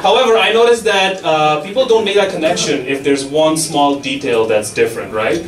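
A young man doing a stand-up set on a club stage with a handheld mic, delivering mock-authoritative rules and quick asides. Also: a little high, some chatter behind. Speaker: stand-up comedian. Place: comedy club stage, audience laughing. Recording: echoey club from far off, loud audience laughter.

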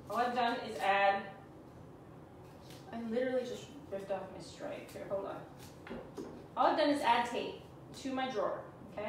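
A woman's voice: on the high side, fairly bright tone, slight background noise. Canadian woman in her forties, chatty and self-teasing crafts host, echoey room, mic far off. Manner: chatty, self-teasing, talks to viewers while working. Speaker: furniture painting tutor. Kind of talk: live painting demo chat. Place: small room indoors, sitting on the floor. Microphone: camera on a stand a few feet away.

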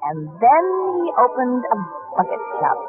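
An actress playing a gangster's needy, chatty wife in the manner of 1940s radio drama. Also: female voice, on the high side, big pitch swings, normal speed. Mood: tipsy, rambling. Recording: muffled old 1940s radio recording, faint music.

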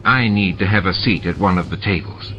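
A man voicing a stern, dry shapeshifting male security chief who talks in measured, sarcastic sentences. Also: low-pitched, a bit bright, a little hiss or hum. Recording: dull lo-fi with music behind.

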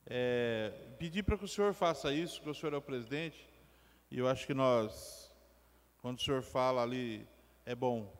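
A man's voice: mid-range voice, normal speed. A man speaking as a city councillor at a meeting table, insistent and emphatic. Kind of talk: council floor remarks. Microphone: table gooseneck mic. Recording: long hall reverb.

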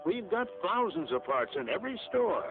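A man's voice: high-pitched, big pitch swings, moderate pace. A man narrating a radio commercial as a dramatic announcer. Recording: tinny radio ad over music.

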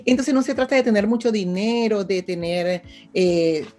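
A woman in her fifties from Venezuela with a strong Venezuelan accent, talking as an interview guest in an animated, conversational way and often checking in with her listener. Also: mid-range voice, moderate pace, soft background music. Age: 50s.

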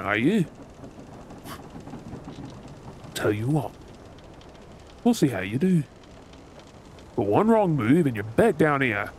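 Guy doing a brooding aussie pirate captain for a roleplay drama, gruff and sardonic, really animated pitch, bit of ship ambience behind. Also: a little hiss or hum, lightly acted. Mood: stern, wary. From Australia. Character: gruff, wounded male pirate captain, bitter yet tender. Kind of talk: ASMR role-play drama acting.

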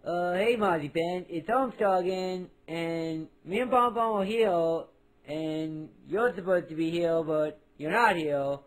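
A man's voice: sits high, measured pace, rough recording. A man voicing a good-natured, dim cartoon guy who rambles indecisively into a phone message. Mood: unsure, mildly let down. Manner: goofy, meandering, indecisive.

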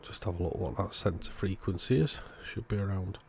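A man, host of an electronics repair video, calmly thinking aloud as he methodically works on a radio at his workbench. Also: low voice, normal speed, gravelly, slight background noise. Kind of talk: casual narrated repair walkthrough.

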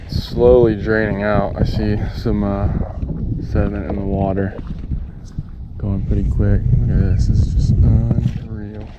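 A man's voice: fairly low pitch, little pitch movement, measured pace, lo-fi sound. A young man vlogging casually about fishing, rambling with fillers, recorded first in a garage and then out on the water. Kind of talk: casual vlog talk. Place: home garage, then a paddle board out on the marsh. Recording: echoey garage, then windy outdoor camera audio.

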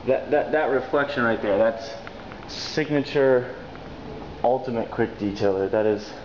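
A man's voice: mid-range voice, unhurried, highs cut off, slight background noise. Upbeat young man vlogging on camera. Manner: upbeat, joking, talks to the camera. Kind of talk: casual road-trip vlog with detailing tips.

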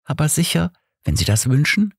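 A man's voice: fairly high pitch, big pitch swings, moderate pace, creaky, slightly rough, dry recording, clean studio sound, voice pushed up, heavily acted. A man voicing a guarded, matter-of-fact young workhouse girl with a faint defiance, in an audiobook story. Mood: guarded, defiant. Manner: matter-of-fact, resigned, deferential in wording.

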